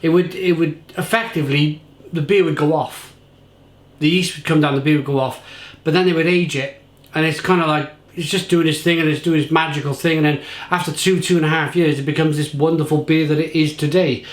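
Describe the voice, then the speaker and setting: A man talks a beer through to camera with rambling enthusiasm, circling back and repeating himself. His voice sits a little high and has gone slightly croaky. The room around him gives a noticeable echo. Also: fairly even intonation, a little fast. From England. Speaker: beer reviewer. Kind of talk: casual tasting review to camera.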